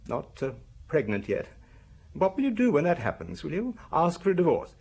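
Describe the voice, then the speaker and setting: An actor playing a weary, defensive husband suspected of murder in a radio crime drama, explaining himself haltingly. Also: male voice, on the high side, wildly swooping pitch, background noise. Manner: evasive, halting, self-justifying.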